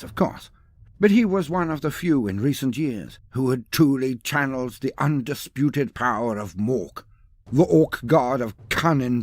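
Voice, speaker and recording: Raspy guy narrating an over the top fantasy epic, super theatrical, voice rises and falls a lot, dry close sound. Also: medium pitch, dry recording. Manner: grandiose, theatrical, ornate. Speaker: storyteller, narrator.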